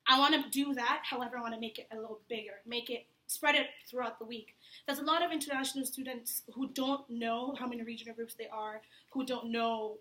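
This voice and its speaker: A young woman delivers an informal campaign speech in a candid, conversational way. Her voice is bright and forceful and sits a little high. The recording is echoey, as in a reverberant room. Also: steady tone, fairly quick. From Tanzania. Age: young adult. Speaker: student election candidate. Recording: echoey room with faint background noise.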